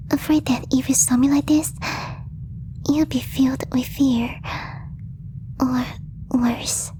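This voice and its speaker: A woman plays a devoted young alien girl in an ASMR audio drama, whispering softly and close to the mic. Her voice is high and mellow, with hesitant, apologetic, formal phrasing. Some noise sits behind the voice. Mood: fearful, confessing. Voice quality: soft, breathy whisper. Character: gentle, devoted young female alien posing as exchange student.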